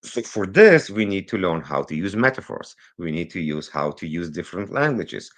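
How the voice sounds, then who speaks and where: A man with a low voice talks as a guest over a remote call. English is not his first language. He tells his story energetically, sometimes speeding up and drifting off on tangents. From Israel. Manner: animated, rushes through some phrases, digressive. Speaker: guest, cybersecurity consultant. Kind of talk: conversational interview storytelling. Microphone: remote recording, virtual call.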